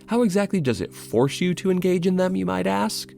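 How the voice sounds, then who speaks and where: A man's voice: high voice, animated, brisk, gravelly, a little mellow, soft background music. A man narrating a scripted video essay as its host, in a wry, sardonic, casually sweary way.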